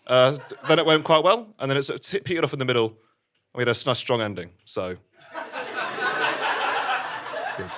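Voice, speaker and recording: A man's voice: mid-range voice, very animated, very fast, fairly bright tone, a little hiss or hum. A man playing an awkward stand-up comedian, rambling through a routine in a hesitant, self-deprecating way. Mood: sheepish.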